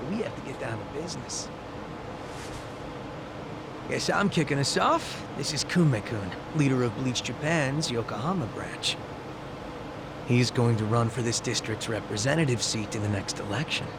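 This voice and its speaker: An actor playing a streetwise, principled former gang boss in a video game, casual and persuasive over background noise. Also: male voice, mid-range voice, expressive, normal speed, close to an everyday voice. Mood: businesslike. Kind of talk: English video game voice acting.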